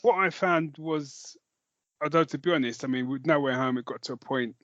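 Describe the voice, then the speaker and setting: A man with a British accent co-hosting a podcast, chatting casually in a rambling, halting way that often backtracks. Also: fairly high pitch, fairly bright tone, no echo, dull audio. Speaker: podcast co-host. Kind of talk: casual chat about films and comics.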